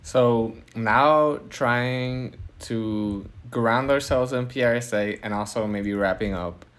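A young man with a Puerto Rican Spanish accent, speaking at a mid pitch. He talks in a relaxed, storytelling way and laughs easily. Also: slow pace. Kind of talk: casual conversation.

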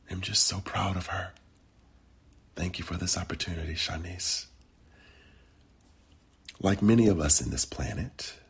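A man speaking as a guest storyteller, with measured delivery. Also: deep voice, fairly bright tone, slightly rough.

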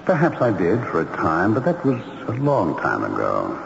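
A sorrowful, courteous male ghost in a vintage radio play. The voice is low and gravelly, with formal, hesitant phrasing that swings expressively. It echoes through a dull, hissy old recording. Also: wildly swooping pitch, heavily acted. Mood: gentle, solemn. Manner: formal, hesitant, measured, mournful. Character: courteous, sorrowful ghost of a long-murdered man. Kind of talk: old-time radio drama acting.

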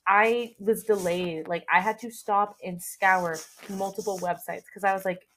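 A woman's voice: medium pitch, steady tone, a little slow, fairly bright tone, a little hiss or hum, poor audio. A young adult woman as a podcast guest, chatting casually and enthusiastically about anime in an informal way.

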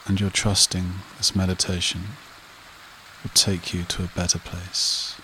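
A man leading a guided meditation and hypnosis in a soothing, repetitive manner with short phrases and long pauses. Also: low voice, a little hiss or hum, low-quality recording. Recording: rain and running water behind voice. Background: steady rain and stream sounds.

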